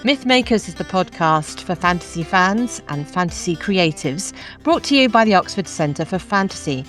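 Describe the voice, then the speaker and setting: A woman hosting a podcast interview, asking measured, thoughtful questions. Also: lowish voice, animated, a bit bright, faint music behind. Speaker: podcast host.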